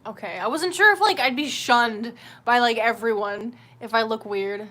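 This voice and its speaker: A woman's voice: a little high, moderate pace, a bit bright. A woman chatting casually on a livestream, rambling in a candid, sweary and self-deprecating way. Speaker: livestream host.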